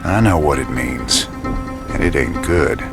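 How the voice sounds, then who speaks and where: An actor playing a steady, wry rebel commander in a video game, laconic. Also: male voice, extremely low pitch, a little slow, vocal fry, over music, heavily acted. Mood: grave, steady. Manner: laconic, understated. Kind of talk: video game cutscene acting.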